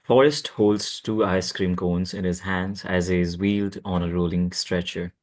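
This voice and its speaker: A man's voice: sits low, steady tone, normal speed. A man narrating a script at a table read, reading out stage directions.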